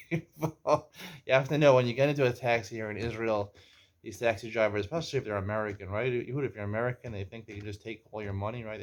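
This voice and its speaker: A man in his forties hosting a casual show, chatty and teasing as he tells stories and jokes with a guest. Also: fairly low pitch. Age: 40s. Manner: jokey, teasing, chatty storyteller. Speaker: host. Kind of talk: casual chat and storytelling. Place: Israel.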